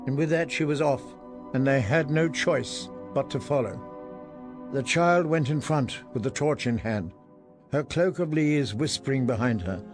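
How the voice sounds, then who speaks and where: A man reading a fantasy story in audiobook style, with measured storytelling delivery. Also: mid-range voice. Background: soft music.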